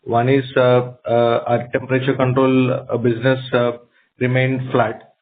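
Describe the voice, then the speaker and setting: A company executive, a man, giving halting, piecemeal answers on an earnings call over a noisy phone line. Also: medium pitch, monotone. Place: conference call. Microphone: telephone.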